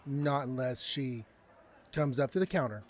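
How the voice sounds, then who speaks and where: A man playing an earnest, easily flustered young barista in an audio drama, with a halting, conscientious delivery. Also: medium pitch, a little hiss or hum, close to an everyday voice. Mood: reluctant, exasperated. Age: young adult.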